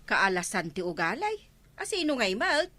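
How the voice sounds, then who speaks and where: A woman's voice: medium pitch, big pitch swings, moderate pace, sharp tone. A female actor with an Ilocano accent playing a practical, placating village neighbour in a radio comedy drama. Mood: curious, reassuring.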